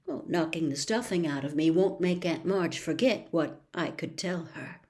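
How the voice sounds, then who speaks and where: A woman's voice: on the low side, normal speed, a little mellow, lightly acted. Storybook teenage boy, put-upon, stubborn, earnest, informal pleading delivery, voiced by a woman. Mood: bargaining.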